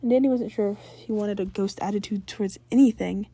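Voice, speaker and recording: A woman narrating fan fiction as an amateur reader, a little halting, sometimes stumbling and rereading words. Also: mid-range voice, mellow, breathy edge, dry recording.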